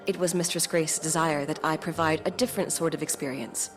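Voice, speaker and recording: A woman playing a calm, formal woman who speaks politely and with measured logic. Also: sits low, over music, natural voice.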